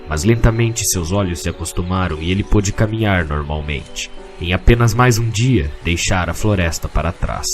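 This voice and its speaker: A man with a Brazilian accent narrating a scripted fantasy story in a measured, literary way. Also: sits low. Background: music.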